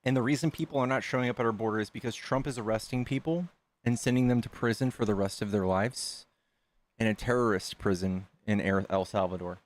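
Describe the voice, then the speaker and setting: A man hosting a casual debate, asking rapid, pointed questions. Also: on the low side. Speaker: debate host.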